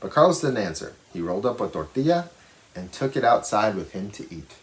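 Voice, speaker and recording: A man's voice: on the low side, sing-song, fairly quick, slight background noise, rough recording. A man reading a children's storybook aloud expressively, with simple explanatory asides for young listeners. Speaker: storybook reader.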